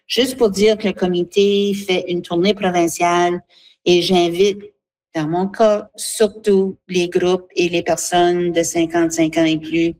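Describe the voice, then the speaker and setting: A woman's voice: fairly low pitch, darkish tone. A woman, explaining earnestly as an association representative in a spontaneous interview.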